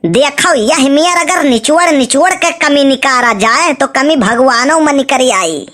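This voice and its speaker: A woman's voice: high voice, lively intonation, a little slow, a bit bright. A woman voicing a cheeky, stubborn cartoon matchmaker who coaxes insistently in a colloquial Awadhi village accent. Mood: persuading. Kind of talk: comic cartoon dialogue in village dialect.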